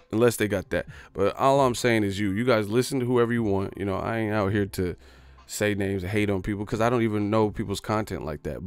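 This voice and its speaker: A man's voice: lowish voice, smooth. A man ranting on a livestream in a boastful, profane, streetwise way. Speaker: boxing YouTuber. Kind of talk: livestream rant.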